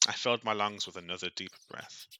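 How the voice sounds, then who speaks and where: Bright, expressive low-voiced man reading aloud. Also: a little fast, clean tone, no echo, low-quality recording. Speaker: streamer reading a visual novel aloud. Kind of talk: dramatic read-aloud narration.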